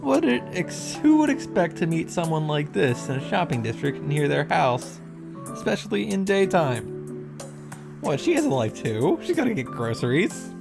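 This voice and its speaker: A man's voice: a little high, wildly swooping pitch, moderate pace, vocal fry, music in the background. A man giving casual, sarcastic reaction commentary while reading and summarizing a visual novel. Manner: sarcastic asides, casual swearing.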